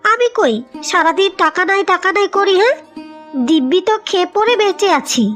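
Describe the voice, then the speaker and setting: A woman's voice: extremely high pitch, expressive, brisk, fairly bright tone, soft background music. A woman voicing a nagging, practical and resentful co-wife in a cartoon, with a scolding, accusing manner. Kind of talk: cartoon voice acting.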